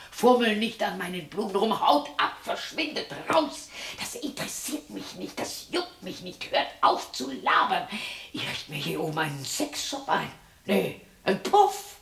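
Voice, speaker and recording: A woman's voice: medium pitch, creaky, a bit bright, distant mic. An actress playing a lonely, bitter widow in her sixties in a radio play, dramatic and defiant, heard in a roomy echo away from the mic. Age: 60s. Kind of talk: radio play monologue acting.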